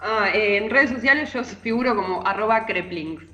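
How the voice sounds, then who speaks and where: Bright-voiced Argentine woman, literary radio columnist. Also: mid-range voice, moderate pace. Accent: Rioplatense Argentine. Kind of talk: conversational book column. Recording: roomy echo, music underneath.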